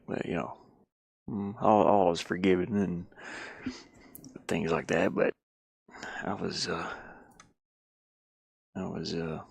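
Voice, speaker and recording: Low, gravelly man rambling casually. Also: little pitch movement, takes it slowly, pauses often. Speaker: streamer and hobby game developer. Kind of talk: casual rambling chat while working. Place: inside a van.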